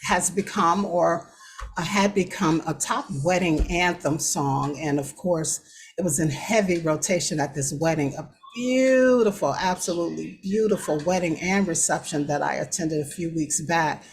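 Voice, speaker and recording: An American woman with a low-pitched voice hosts a casual talk show. She moves through news and personal stories conversationally, taking her time and pausing between phrases as she finds her words. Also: slight background noise. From United States.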